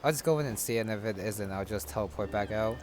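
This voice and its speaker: A man's voice: on the low side, fast talker, soft background music. A man giving casual gameplay commentary, musing and thinking aloud. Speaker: gamer.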